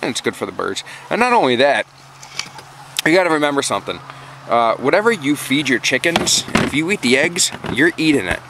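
A man's voice: mid-range voice, big pitch swings, a little fast. Informal man talking over background noise. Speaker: backyard chicken keeper and vlogger. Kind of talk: casual how-to vlog talk. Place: outdoors in a yard and inside a small wooden coop. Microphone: handheld camera, operator behind it. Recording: noisy handheld camera audio.

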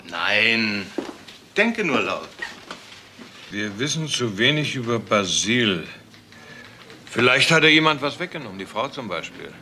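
Male detective, crime radio drama: dry, probing, animated pitch, slight noise. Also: a little slow, pauses often, exaggerated voice. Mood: musing. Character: probing, dryly musing male police detective. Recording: faint background noise.

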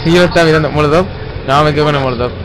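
A young man with a Chilean accent chatting casually and swearing in thick slang while playing a game with friends, with game audio loud behind him. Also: a little high, very fast, a crowd in the background, low-quality recording. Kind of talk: casual gameplay chat. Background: loud game music and sound effects.

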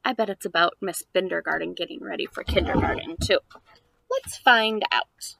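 A woman's voice: on the low side, moderate pace, creaky, a bit bright, dry recording. A woman in her thirties speaking as a preschool teacher to young children, in an exaggerated sing-song read-aloud delivery. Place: outdoors on a house porch.

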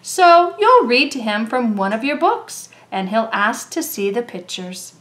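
A woman reading a children's story aloud in an expressive, deliberate way, drawing out words for young listeners. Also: fairly high pitch. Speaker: storybook reader.

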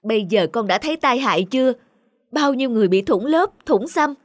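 A man's voice: very high-pitched, lively intonation, fairly quick, no echo, studio quality. A man voicing a hard-working, honest father in a cartoon, earnest and fatherly. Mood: shocked, stern. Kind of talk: cartoon dubbing.

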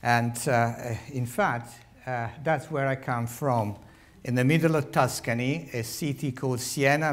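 An older man with an Italian accent, a heart surgeon giving a public lecture at a lectern, telling wry stories with many fillers. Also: mid-range voice. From Italy. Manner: animated, wry storytelling with frequent uh fillers. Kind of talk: public lecture with humorous asides. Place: large lecture hall, standing at a lectern. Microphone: lectern microphone.

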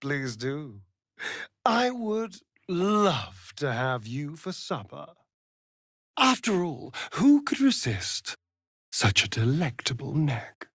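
A man's voice: fairly high pitch, very animated, takes it slowly, a bit bright, breathy edge, no echo, studio quality, heavily acted. A male actor with an English accent voicing a sardonic, seductive, vain vampire rogue in a video game, with an arch, flamboyant drawl. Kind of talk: theatrical video game dialogue.

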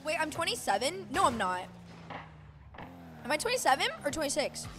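A woman in her twenties chatting casually on a live stream, reading and answering chat with excitable bursts. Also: high-pitched, a little fast, background noise. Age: 20s. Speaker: esports co-streamer. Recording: game music under the voice. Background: game music and car sounds.

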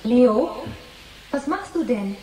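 A concerned, caring partner in a 1980s radio play. Her voice is mellow and questioning, with faint noise behind it. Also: on the high side, expressive, takes it slowly, low-quality recording. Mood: worried, soothing. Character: worried, caring woman partner of a troubled man. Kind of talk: 1980s German radio drama acting.

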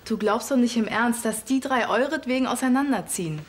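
A woman's voice: fairly high pitch, brisk, lightly acted. An actress playing a firm, sceptical teenage schoolgirl in a TV series. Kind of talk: TV series acting.

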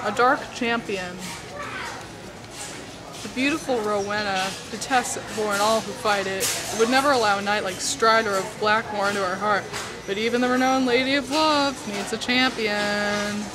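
A woman's voice: mid-range voice, steady tone, moderate pace, low-quality recording. A woman in her twenties giving a playful, theatrical dramatic reading of a romance novel, with amused, mocking asides. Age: 20s. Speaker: amateur vlogger reading aloud. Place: indoors among book aisles of a store or library. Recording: busy public space with loud crowd murmur.

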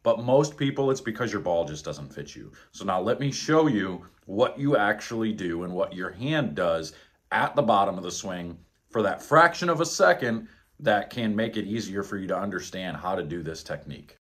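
A man's voice: low voice, lively intonation, moderate pace. A man in his thirties coaching bowling technique at home, talking bluntly and emphatically as he demonstrates. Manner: blunt, emphatic, exasperated at bad advice. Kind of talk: instructional vlog demonstration. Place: living room at home, indoors. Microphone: camera on tripod, close then several meters away. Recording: echoey room.

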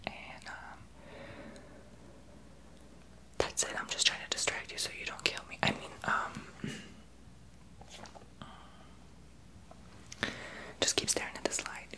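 A man's voice: a little fast, lightly acted. A young man voicing a sassy, unqualified therapist in a whispered ASMR roleplay. He whispers blunt, sarcastic remarks close to the mic in a small home office. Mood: condescending. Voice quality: soft unvoiced whisper. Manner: blunt, sarcastic, gossipy sass. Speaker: ASMR creator.

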